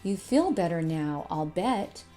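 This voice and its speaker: A woman's voice: on the low side, lively intonation, a little slow, dark tone. A helpful, curious monkey acted out by a woman gives coaxing advice in a storybook read-aloud. Faint music plays behind the instructive lines. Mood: advising.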